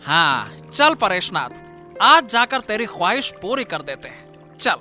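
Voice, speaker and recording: A male actor plays a two-faced cartoon minister. The voice is piercingly bright and swings widely in pitch, slippery and repetitive, with music underneath. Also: very animated, measured pace, heavily acted. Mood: sly menace. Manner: falsely agreeable, repeating words, sly. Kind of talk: animated Hindi cartoon story acting.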